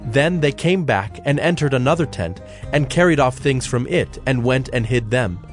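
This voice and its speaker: A man reads scripture as a narrator in a solemn, measured style. His voice is mid-pitched, with faint music behind it. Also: lively intonation. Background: soft background music.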